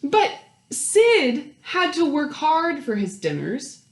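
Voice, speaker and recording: A woman reading a picture book aloud to young children, expressive, with questions and asides to her listeners. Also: sits high, slow pace. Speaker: children's storytime host and story reader. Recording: roomy, echoey indoor sound.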